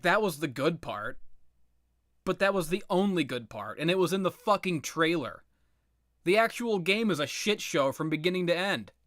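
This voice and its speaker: A man's voice: high-pitched, fairly bright tone, no echo, clean studio sound. A man giving casual, unscripted opinion commentary on video games in a blunt, sardonic, sweary way. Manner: blunt, sardonic, profane ranting. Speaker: video game commentator, host.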